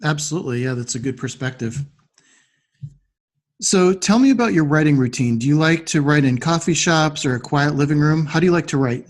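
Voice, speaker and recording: A man's voice: a little high, fairly quick. A man hosting a podcast interview, asking questions and giving short responses.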